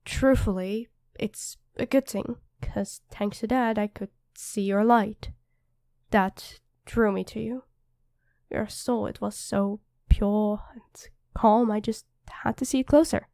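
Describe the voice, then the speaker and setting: A woman's voice: medium pitch, fairly even intonation, takes it slowly, dark tone, dry recording, studio quality. A woman playing a feisty, protective demon queen who is a devoted girlfriend, commanding yet affectionate, in an ASMR roleplay. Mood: tender, earnest.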